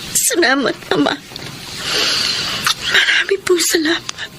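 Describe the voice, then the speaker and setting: A woman's voice: extremely high pitch, slow, background noise. A woman acting a guilt-ridden, emotional young wife who pleads, in a Filipino radio drama. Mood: subdued, grateful. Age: young adult. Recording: prominent music bed under the voice.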